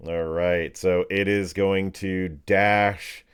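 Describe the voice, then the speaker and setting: A low-pitched man works as game master for a tabletop fantasy game. He narrates the action and talks to the players in a casual way. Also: level tone, takes it slowly, crowd noise.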